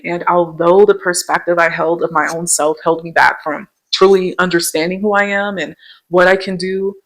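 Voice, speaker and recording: A soft-spoken young woman hosting a podcast, telling her own story in a gentle, reflective and earnest way. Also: on the low side, poor audio. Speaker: podcast host. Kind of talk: personal storytelling monologue.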